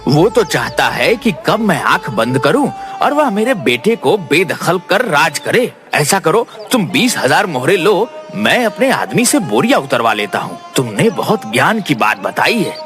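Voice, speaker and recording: A man's voice: a little high, sing-song, a little slow, few pauses. A man voicing a greedy, gullible older merchant in a cartoon story, blustering and suspicious. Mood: gullible, worried. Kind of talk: animated Hindi moral-story cartoon acting. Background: faint music.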